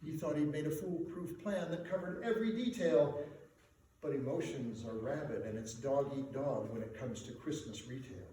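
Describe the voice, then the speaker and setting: A man performing a dramatic recitation of comic rhyming verse for an audience, in a theatrical, measured delivery with emphatic swells. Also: fairly high pitch, mellow. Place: live performance before a laughing audience in a reverberant room. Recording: echoey room, distant mic, faint noise.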